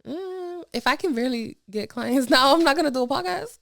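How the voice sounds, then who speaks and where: A young woman with a soft voice, a lash technician chatting casually as a podcast guest, laid-back and laughing often. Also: high-pitched, expressive, brisk, vocal fry, no room sound, clean studio sound.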